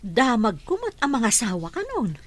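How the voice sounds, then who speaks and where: A woman's voice: fairly high pitch, wildly swooping pitch, moderate pace, no room sound. A woman playing a curious, nosy village gossip in a radio drama, with an Ilocano accent.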